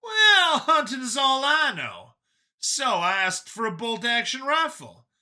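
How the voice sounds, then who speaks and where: A man puts on a cocky medic and hunter for an audiobook. The voice rides high and swings widely, with a sharp, forceful edge. He is combative and folksy, prone to exclaiming. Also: very animated, a little slow, exaggerated voice. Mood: heated boasting. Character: brash, cocky older human medic and hunter, male. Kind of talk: acted audiobook dialogue.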